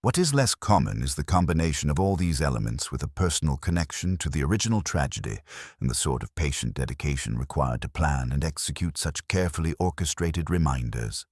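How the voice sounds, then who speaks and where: Man reading as deep, courteous, shrewd police inspector. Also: very animated, husky, no room sound, studio quality, heavily acted. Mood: calmly accusing. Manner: conversational, gentle, unhurried, formal. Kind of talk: audiobook mystery character reading.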